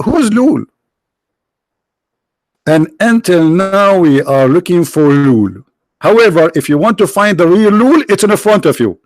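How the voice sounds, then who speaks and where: A man whose first language is Arabic hosting a live stream, talking sarcastically, halting often on fillers and now and then breaking into mock singing. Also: high voice, no room sound. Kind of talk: mocking religious debate stream.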